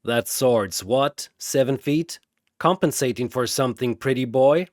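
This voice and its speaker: A man voicing a gruff, sardonic clawed mutant brawler in an audio story, growling his words. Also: mid-range voice, a little slow, no room sound, studio quality, lightly acted. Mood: suspicious, mocking.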